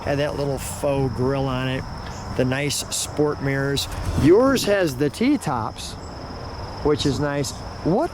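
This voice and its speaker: A man's voice: medium pitch, takes it slowly. An enthusiastic American man hosting a casual car walkaround, chatting and commenting as he goes. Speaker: host of a car video series. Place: outdoors beside a parked car. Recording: noisy outdoor recording, insects and vehicles.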